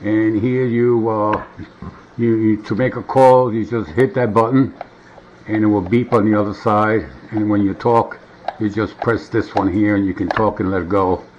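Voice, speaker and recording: Middle aged guy muttering thru a gadget demo at home, lowish voice, bit of background noise. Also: fairly even intonation, moderate pace, a little mellow. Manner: rambling, muttering to himself. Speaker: vintage gadget demonstrator. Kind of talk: casual hands-on demonstration. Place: indoors at a wooden table at home. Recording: dull, noisy home camera audio.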